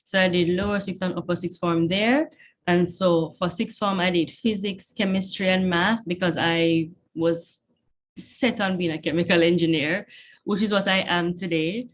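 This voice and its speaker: A woman's voice: fairly low pitch, fairly even intonation, moderate pace, a little hiss or hum. Chatty Jamaican woman teaching online. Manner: encouraging, chatty, checks in with "okay?" often. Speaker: chemistry tutor. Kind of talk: online class. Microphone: computer mic on video call.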